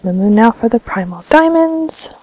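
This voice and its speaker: A woman with a slight cold giving relaxed, chatty commentary over her gameplay, sounding a little congested. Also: on the high side, moderate pace, no room sound. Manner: relaxed, chatty, filler-heavy. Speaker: gaming vlogger.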